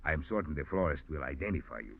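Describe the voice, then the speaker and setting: An actor playing a solemn, accusing Mexican police lieutenant in 1940s radio style, with a Mexican accent and deliberate, formal speech. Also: male voice, very deep voice, animated, vocal fry, breathy edge, exaggerated voice. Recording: muffled vintage radio recording with music.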